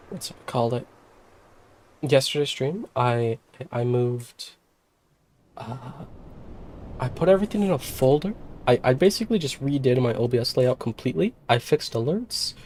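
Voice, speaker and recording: A woman streamer with a sick, hoarse voice, chatting casually and rambling with many fillers and restarts. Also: very deep voice, warm tone. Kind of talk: casual livestream chat.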